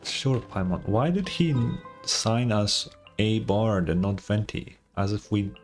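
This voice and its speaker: A man's voice: on the low side, measured pace, faint music behind. A man doing casual gaming livestream commentary in a sarcastic, mock-exasperated way, swearing freely. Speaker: streamer.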